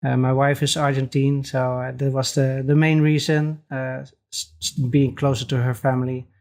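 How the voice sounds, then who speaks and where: A man from the Netherlands with a Dutch accent, a podcast guest talking casually with frequent hesitant pauses. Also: medium pitch, level tone, darkish tone. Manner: hesitant, many pauses and restarts. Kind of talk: casual interview chat.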